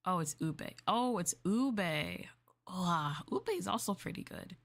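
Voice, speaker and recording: A woman streamer chatting casually in a maid roleplay, rambling and laughing softly as she addresses her master. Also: on the low side, expressive, slow. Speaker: VTuber streamer.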